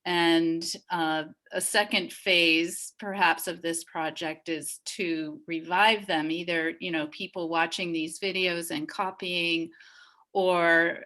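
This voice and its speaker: Slow, low-pitched woman speaking thoughtfully. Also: level tone, a bit bright. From Massachusetts, US. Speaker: dance group leader and panelist. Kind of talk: reflective discussion on a video call. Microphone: video call.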